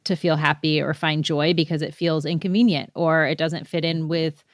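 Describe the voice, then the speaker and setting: A woman hosting a podcast interview, chiming in with quick, affirming remarks. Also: deep voice, fairly even intonation, dry recording. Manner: quick, affirming back-and-forth. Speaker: podcast host.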